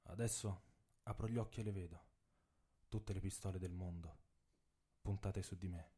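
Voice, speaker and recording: Man voicing a proud, defiant athlete, low and hushed. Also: steady tone, moderate pace, breathy edge. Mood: tense, resolute. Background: saxophone and drum music.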